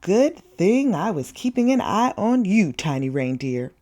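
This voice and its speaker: A woman voicing a big, jolly, kindly old Santa in a picture book, in a booming, hearty manner. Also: very animated, a little slow, dark tone. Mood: kindly, reassuring.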